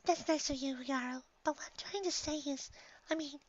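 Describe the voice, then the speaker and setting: Shy, gentle cartoon pony girl, put on by a storyteller: high-pitched, hesitant, near-whispering, slightly muffled sound. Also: moderate pace, natural voice. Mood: nervous. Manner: hesitant, stammering, soft-spoken. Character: shy, gentle, nervous young pegasus mare.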